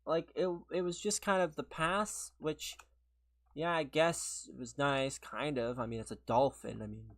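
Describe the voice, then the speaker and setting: Guy on a game stream, bit sick and sniffly, kinda high voice, rambly and laughy. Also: fairly even intonation, unhurried. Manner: chatty and rambling, laughs often, answers chat. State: sick, sniffly, voice a little worn. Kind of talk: casual livestream chat while gaming, replying to viewers.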